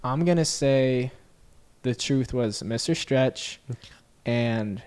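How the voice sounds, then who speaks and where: A young man hosting a sports podcast, his voice at an everyday middle pitch. He talks loosely and chats along, questioning his guest in a friendly, rambling way. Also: slow pace, darkish tone, clean tone. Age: young adult. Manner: chatty and easygoing, heavy on "like" and "you know". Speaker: podcast host. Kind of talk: casual interview.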